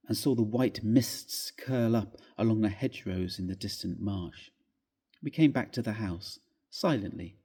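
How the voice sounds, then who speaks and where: A man gives a measured, theatrical reading of a classic ghost story, with expressive phrasing. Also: fairly low pitch, breathy edge. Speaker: story reader. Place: inside a church, live reading.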